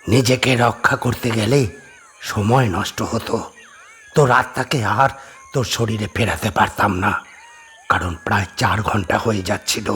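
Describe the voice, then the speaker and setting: A man acts the vengeful ghost of a stern holy man in a horror audio drama. His low voice is solemn and emphatic, built for command. Slight noise lies under the recording. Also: fairly bright tone, close to an everyday voice.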